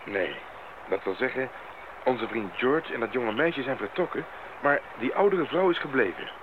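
Brief: man as earnest expedition member, medium pitch, reflective, vintage radio play with clear noise. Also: lively intonation, moderate pace, natural voice. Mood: puzzled, reflective. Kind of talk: old radio drama acting. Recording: muffled, noisy old radio recording.

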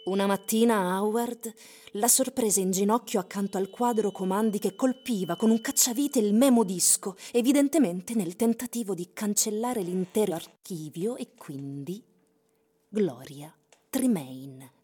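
An actress delivering scripted dramatic narration of a tense literary story for a radio play. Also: female voice, mid-range voice, a little slow, warm tone, breathy edge. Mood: measured, solemn. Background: music.

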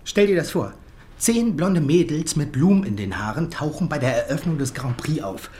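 An actor playing a slick, self-assured advertising creative in a naturalistic radio drama, glib and full of jargon. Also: male voice, on the high side, animated, moderate pace, a little mellow, close to an everyday voice. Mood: pitching.

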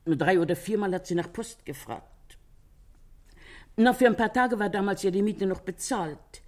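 A woman playing a talkative older Parisian landlady in a German radio crime drama, gossipy but sympathetic, chatting and retelling with a husky voice. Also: mid-range voice, lively intonation, moderate pace, natural voice. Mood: lively, gossipy. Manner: chatty storytelling, quotes others animatedly.

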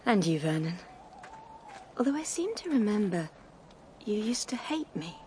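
A woman's voice: medium pitch, big pitch swings, normal speed, mellow, slight background noise, lightly acted. An actress playing a sweet, artless and genteel young society woman in a radio drama. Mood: shy, friendly. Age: young adult. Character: sweet, girlish, wistful young society beauty.

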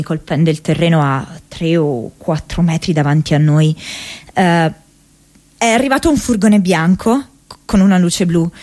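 An actress playing an earnest, haunted young American woman activist in an intimate radio drama monologue, speaking haltingly with hesitant pauses and repeated words. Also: mid-range voice, a little mellow, natural voice. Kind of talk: intimate radio drama monologue, diary read as spoken memories.

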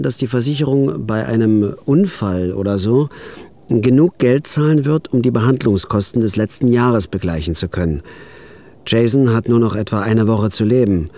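An actor playing a quiet, deadpan hired killer who narrates his own story in a radio drama. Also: close to an everyday voice. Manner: deadpan, quiet, low-key. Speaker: narrator and lead.

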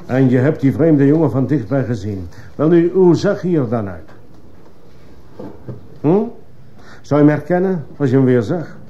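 An actor playing a stern, sceptical judge questioning a witness with authority in a radio drama. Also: male voice, medium pitch, lively intonation, normal speed, long pauses, warm tone, close to an everyday voice. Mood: probing, sceptical. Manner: authoritative, probing. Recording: muffled, slightly noisy old radio recording.

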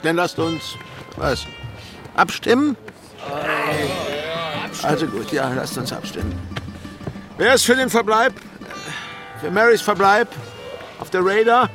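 An actor playing a brash, blustering pirate captain in a theatrical radio drama manner. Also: male voice, sits high, animated, takes it slowly, pauses often, over music. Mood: brisk, flustered. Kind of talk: German radio drama acting.

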